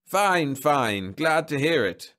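A man voicing an excitable, smug bureau official with an emphatic manner in a science fiction story. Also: a little high, moderate pace, pitched above the performer's own voice. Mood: genial, smug. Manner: effusive, emphatic.

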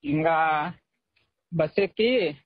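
High-voiced young man, slangy, muffled phone call. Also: extremely slow, no room sound. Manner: informal, slangy, says "bro" often. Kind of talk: casual phone conversation.

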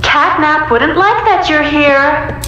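Menacing toy schoolmistress, game villain, mock-polite, very slow, high-pitched, music behind. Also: female voice, pushed and bright, highs cut off, exaggerated voice. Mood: eerie, curious. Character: menacing female living toy schoolteacher, sweetly sinister. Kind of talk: video game villain acting. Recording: echoing game clip with music.

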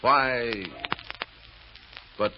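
A man's voice: medium pitch, steady tone, drawn-out words. A man narrating an old radio drama serial as its announcer, in a dramatic storytelling style. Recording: muffled, noisy old radio recording.

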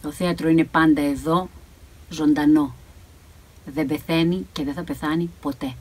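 A woman in her fifties performs a dramatic scripted reading. Her voice is low and noticeably husky, recorded in a studio. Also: steady tone, darkish tone. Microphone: studio microphone.

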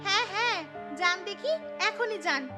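A woman voicing a sharp-tongued, exasperated daughter-in-law in a cartoon story, scolding and indignant. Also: very high voice, normal speed, music in the background. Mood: defiant.

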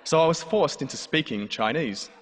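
A man's voice: on the high side, expressive, normal speed. A man in his thirties with an Australian accent, telling a comic personal story on stage in a dry, wry way. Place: large hall before a live audience. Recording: live hall with long reverb, faint noise.

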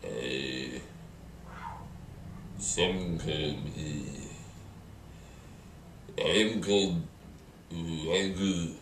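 A man answering interview questions in character in a halting, deadpan, cryptic manner. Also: rumbling low. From Southern California, as claimed in character. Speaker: performer being interviewed. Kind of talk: improvised in-character performance interview. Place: bare unfinished room indoors. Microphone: distant camera microphone. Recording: echoey, distant camera with background noise.

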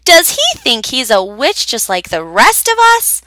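A woman's voice: on the high side, wildly swooping pitch, unhurried, bright, forceful tone, no echo, heavily acted. A woman voicing a group of mocking, cackling witches in a storybook tale. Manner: sneering, mocking.

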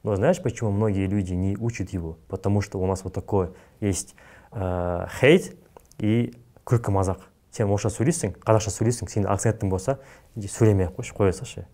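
A young man with a Kazakh accent talks as a podcast guest in a fairly low voice. His delivery is blunt and casual, full of slang. He is recorded on a studio lapel mic. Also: fairly quick, dark tone. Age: young adult.

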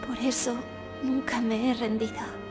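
A woman playing a quiet, devoted young female assassin in an anime fan dub, solemn and measured. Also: a little high, little pitch movement, close to an everyday voice. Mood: tender sorrow. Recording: long reverb under background music.

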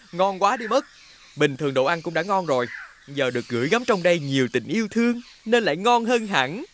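A man voicing a playful, teasing younger brother who sells medicine, in an animated fairy tale. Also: high voice, normal speed, a little hiss or hum. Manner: jolly, teasing.

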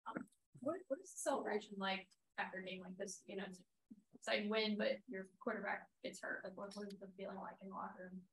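A woman sports reporter asks questions at a press conference. Her pitch sits in the middle and she moves briskly through her words. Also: steady tone, a bit bright, husky, poor audio.

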